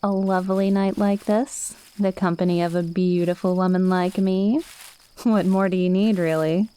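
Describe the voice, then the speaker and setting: A woman's voice: fairly low pitch, steady tone, moderate pace, mellow, clear, resonant tone, close to an everyday voice, rough recording. A woman playing a cute, playful witch in an ASMR roleplay, speaking confidently and coaxingly straight to the listener. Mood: relaxed, pleased. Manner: conversational, teasing, coaxing.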